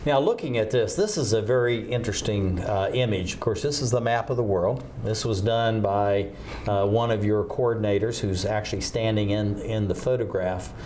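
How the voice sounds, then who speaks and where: A man's voice: lowish voice, normal speed, a little mellow, a little hiss or hum. A man hosting a TV talk show, interviewing a guest with enthusiasm and frequent fillers.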